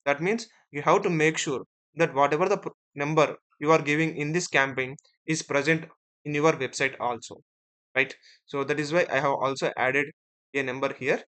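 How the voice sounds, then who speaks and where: A man teaching as an instructor in a screen-share software tutorial, explaining step by step and often checking in with short tag words. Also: fairly high pitch, fairly even intonation, normal speed. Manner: step-by-step, often ending phrases with "right?" and "okay".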